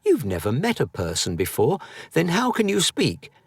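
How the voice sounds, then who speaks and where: A man plays a small, excitable storybook boy. The voice leaps up high and swings about, cheeky and full of wide-eyed bravado, in a dry recording. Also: normal speed, slightly rough, no room sound, heavily acted. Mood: amazed. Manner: exclaiming, childlike wonder and bravado.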